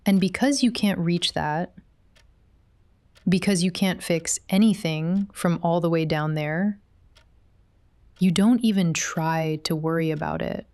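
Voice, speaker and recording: A woman playing a possessive, quietly controlling therapist in an intimate ASMR roleplay, speaking softly and coaxingly. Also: fairly low pitch, no room sound. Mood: soothing, hypnotic. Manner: soft, unhurried, coaxing.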